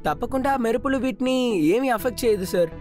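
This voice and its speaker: A woman's voice: fairly high pitch, expressive, quick pace, over music, lightly acted. A woman playing an earnest, confident young boy hero in a Telugu cartoon dub. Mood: eager, determined. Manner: earnest, urgent, determined.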